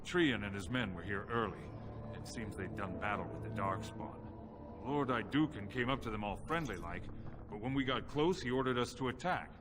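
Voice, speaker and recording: A man's voice: on the low side, lively intonation, moderate pace, music in the background, close to an everyday voice. A man playing a plain-spoken dwarven scout, a common soldier, in a fantasy game. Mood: earnest, accusing. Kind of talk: dramatic fantasy game acting.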